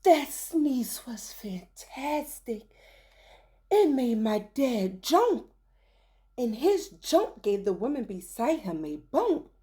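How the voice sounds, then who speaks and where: A woman reading a rhyming children's story aloud with theatrical, deliberate phrasing. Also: fairly high pitch, sing-song, warm tone.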